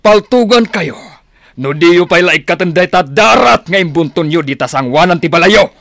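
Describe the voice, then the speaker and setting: An actor playing a hot-tempered older retired policeman, gruff and threatening, in an Ilocano Filipino radio drama. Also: high-pitched, lively intonation, moderate pace, fairly bright tone, dry recording, clean studio sound, heavily acted. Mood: menacing. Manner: gruff, bullying.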